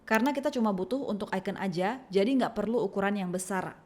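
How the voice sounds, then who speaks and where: Woman narrating a software tutorial. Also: medium pitch, quick pace. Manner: instructional, step-by-step. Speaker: tutorial presenter.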